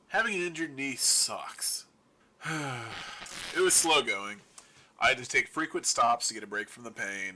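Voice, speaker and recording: A man's voice: medium pitch, sharp tone, a little hiss or hum. A man playing a wry survivor of a zombie apocalypse in an audio drama, rambling to his listeners in a casual, sarcastic, self-deprecating radio monologue. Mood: weary, relieved.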